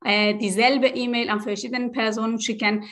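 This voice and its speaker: Hesitant young woman, slightly high voice. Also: flat delivery, moderate pace, a bit bright. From Iran. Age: young adult. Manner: hesitant, many fillers and restarts in a second language. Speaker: student guest. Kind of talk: casual interview answers.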